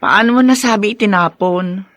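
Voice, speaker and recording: A woman's voice: mid-range voice, normal speed, a bit bright, no echo, close to an everyday voice. A woman acting an anxious, tender and doubtful wife in a Filipino radio drama, emotive and hesitant in conversation. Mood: worried, doubtful.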